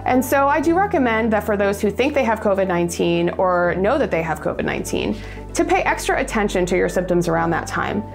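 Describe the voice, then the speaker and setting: A woman physician calmly explaining illness symptoms in a measured, informative way. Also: mid-range voice, gravelly. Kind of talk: explanatory clip on medical symptoms. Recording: inserted clip with faint music.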